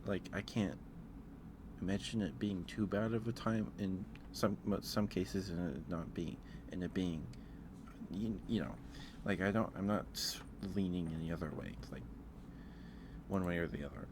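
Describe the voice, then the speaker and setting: A soft-spoken man hosting a casual ASMR livestream, rambling and thinking out loud in a hesitant way. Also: low-pitched, moderate pace, background noise. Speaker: livestream host. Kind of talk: casual ASMR livestream chat, ranking aloud.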